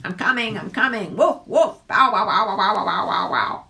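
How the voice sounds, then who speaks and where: A woman voicing an excitable, savage male dog in a children's storybook, barking out exclamations. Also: medium pitch, moderate pace, creaky, voice pushed up. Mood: excited barking. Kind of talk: children's storybook read-aloud.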